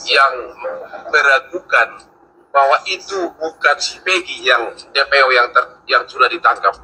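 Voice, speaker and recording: A man giving commentary, reasoning deliberately through open questions. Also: a little high, pushed and bright, a little hiss or hum, poor audio. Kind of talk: spoken commentary.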